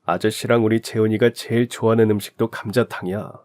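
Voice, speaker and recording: A man playing a kind, gentle father. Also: lowish voice, fairly even intonation, fast talker, close to an everyday voice. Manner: gentle, inviting.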